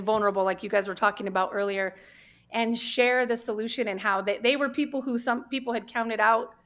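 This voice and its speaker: A woman's voice: mid-range voice, fairly bright tone. An American woman from New Mexico with a mid-range voice speaks as a podcast guest. She tells her story candidly and earnestly. She often halts and repeats words for emphasis as she goes. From New Mexico, United States. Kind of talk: candid personal storytelling in conversation.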